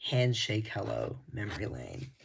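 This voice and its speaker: A man showing records from his collection in casual talk, laid-back and rambling, his voice slightly raspy, with frequent ums and restarts. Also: lowish voice, sing-song, a little slow, heavy background noise.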